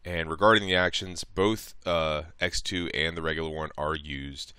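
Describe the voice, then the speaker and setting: A man narrating a casual step-by-step software tutorial in an informal, somewhat hesitant way, thinking aloud as he goes. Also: rumbling low, steady tone, moderate pace, clear, resonant tone, no echo, clean studio sound. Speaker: tutorial presenter.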